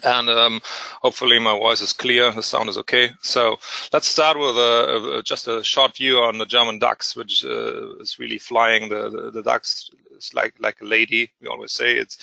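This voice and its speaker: A man's voice: medium pitch, creaky, sharp tone, dry recording, lo-fi sound. A man presenting a live trading setup, hesitant and full of fillers and restarts as he works through charts. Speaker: day trader presenter. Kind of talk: live market commentary.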